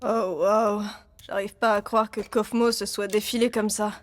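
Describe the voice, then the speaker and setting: A woman's voice: medium pitch, level tone, unhurried, noisy background, lightly acted. A woman voicing a blunt, skeptical young female circus performer in a French-language cartoon dub. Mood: sardonic. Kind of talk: animated series dub.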